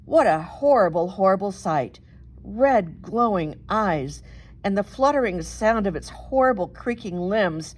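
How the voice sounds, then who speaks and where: Slow, dramatic woman storyteller. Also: medium pitch, lively intonation. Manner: dramatic, deliberate emphasis. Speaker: storybook reader, reverend. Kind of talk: expressive children's story narration.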